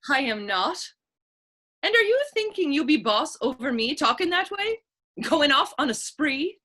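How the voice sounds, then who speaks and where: A woman plays a quick-witted Irish lass in a stage play. Her voice is high and sharp, with lively swoops. She is saucy and challenging, trading folksy retorts. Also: heavily acted. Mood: mock offended. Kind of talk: stage readers theater dialogue.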